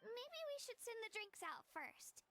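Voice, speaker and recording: A woman's voice: extremely high pitch, sing-song, a little slow, pushed and bright, a little hiss or hum, full character voice. A woman voicing a shy, sweet young girl in a video game, polite and a little hesitant. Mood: polite, flustered.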